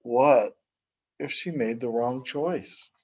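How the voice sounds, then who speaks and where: A man reading a children's story aloud expressively, with measured, dramatic phrasing and hushed sentence endings. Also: mid-range voice. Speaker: story reader. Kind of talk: expressive children's picture book read-aloud.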